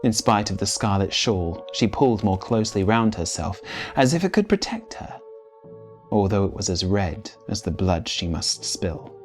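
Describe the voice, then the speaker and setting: A man reading literary fiction as a storyteller, with measured, theatrical phrasing. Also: on the low side, animated, soft background music. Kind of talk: dramatic literary narration.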